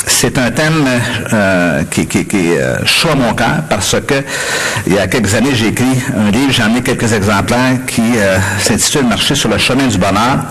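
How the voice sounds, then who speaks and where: A man's voice: on the low side, husky, rough recording. A middle-aged man with a Québécois accent, a visiting pastor preaching a sermon in a conversational way, joking with the congregation and often hesitating. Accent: Québécois French. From Quebec. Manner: conversational, jokes with the audience, frequent hesitations. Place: church hall before a congregation.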